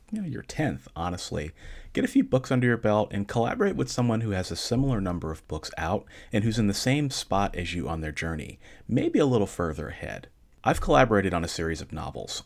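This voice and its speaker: A man hosting his own podcast, giving calm, measured advice to listeners in a relaxed, conversational way with a dry, deadpan humor. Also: low voice. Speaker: podcast host answering listener questions. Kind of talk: casual solo podcast talk.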